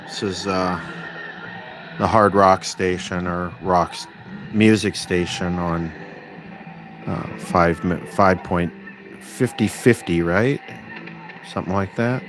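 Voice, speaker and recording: A man hosting a casual livestream about electronics repair, thinking aloud in a halting, rambling way with dry, wry remarks. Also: sits low. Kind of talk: casual unscripted livestream chat, reading and answering viewer requests. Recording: clear steady background noise.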